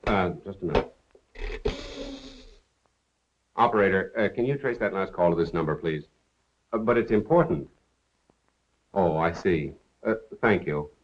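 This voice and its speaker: An actor playing a suave, teasing amateur detective in an old 1930s film. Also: male voice, low-pitched, normal speed, lightly acted. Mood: brisk. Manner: glib, teasing. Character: suave, quick-witted male amateur detective, breezily bluffing. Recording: muffled 1930s film soundtrack.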